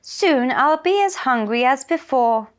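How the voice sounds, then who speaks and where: A woman's voice: high-pitched, lively intonation, unhurried, pitched above the performer's own voice. A woman voicing a poor, eager, trusting man in a children's storybook folktale, with simple exclamatory speech. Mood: anxious.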